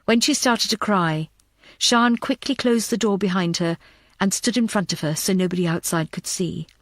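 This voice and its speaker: A woman's voice: mid-range voice, normal speed, dry recording. A woman reading scripted narration for a thriller audiobook.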